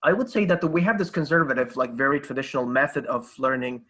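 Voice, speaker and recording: A middle-aged man talks as an interview guest with a medium-pitched voice. He explains like a teacher, with emphasis, often repeating a phrase before he moves on. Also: fast, a bit bright. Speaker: podcast guest, language teacher.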